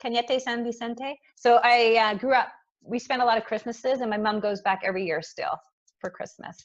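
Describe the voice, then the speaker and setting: High-voiced Canadian woman, chatty podcast host. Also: normal speed, highs cut off. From Montreal, Canada. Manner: excitable, informal, slangy. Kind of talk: casual chatty podcast interview.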